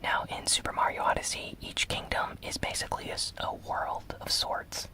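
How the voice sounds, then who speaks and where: A host recapping gaming news in a breathy, unvoiced ASMR whisper, soft-spoken and relaxed. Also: moderate pace.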